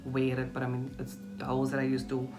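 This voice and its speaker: A woman's voice: very deep voice, monotone, quick pace. A woman in her thirties with a Cape Town South African accent, chatting informally to her viewers as a vlogger, with emphatic little asides. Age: 30s. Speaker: YouTube vlogger. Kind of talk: casual chat to camera, question-and-answer. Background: background music.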